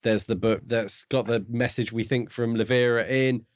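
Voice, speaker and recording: A man co-hosting a podcast, talking through books in a casual, measured way as he thinks aloud. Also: mid-range voice, steady tone, dry recording, studio quality. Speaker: podcast co-host. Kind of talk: casual book discussion.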